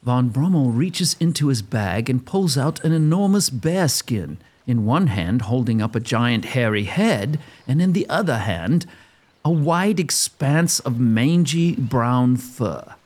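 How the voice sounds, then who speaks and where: Husky male narrator, animated. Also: mid-range voice, takes it slowly. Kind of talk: scripted narration of a gothic comedy audio drama.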